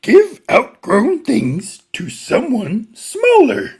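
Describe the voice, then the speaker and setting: A man voicing an excitable furry little monster puppet, reading a children's picture book in a strained, throaty voice. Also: very high voice, gravelly, husky, voice pushed up, full character voice. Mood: earnest, instructive. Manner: emphatic, swooping, deliberate reading to small children.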